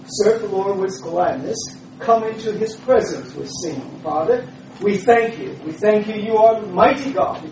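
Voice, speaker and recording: A man introducing a church speaker and leading prayer, halting with frequent filler pauses. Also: high-pitched, dark tone. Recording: echoey, distant, noisy room mic.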